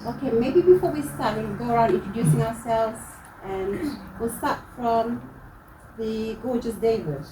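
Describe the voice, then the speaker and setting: A woman's voice: a little high, animated, measured pace. A woman hosting a community workshop, welcoming the group in a friendly way with frequent ums. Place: community hall. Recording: roomy, distant, noisy hall recording.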